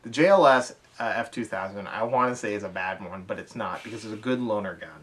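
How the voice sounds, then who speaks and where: A man co-hosting a hobby podcast, chatting casually and haltingly about his gear with enthusiasm. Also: on the low side, fairly bright tone. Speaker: podcast co-host. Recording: distant from the microphone.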